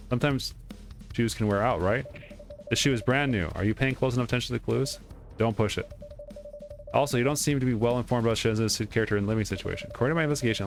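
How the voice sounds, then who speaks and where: A man with a lowish voice reads game dialogue aloud at a quick clip. He trips over the odd word and corrects himself as he goes. Faint game music runs behind him. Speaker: let's play streamer. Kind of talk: reading game dialogue aloud during playthrough.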